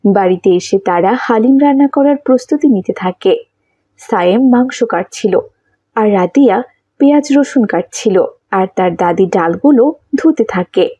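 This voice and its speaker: A woman narrating a scripted children's story, with a husky, mellow voice. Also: mid-range voice, animated, moderate pace, no room sound, highs cut off.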